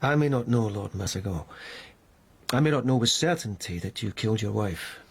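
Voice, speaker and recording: Radio drama: persistent, formal police investigator, husky, measured, insistent. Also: male voice, on the low side, animated, a little mellow, full character voice. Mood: dogged questioning. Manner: deliberate, insistent. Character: dogged, formal police lieutenant interrogating a suspect.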